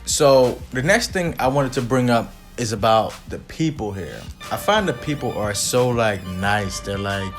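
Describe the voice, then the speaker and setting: A man's voice: medium pitch, animated, a little slow, few pauses, soft background music. A man in his thirties, chatting casually as a co-host and rambling with frequent fillers. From United States. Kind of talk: casual chat.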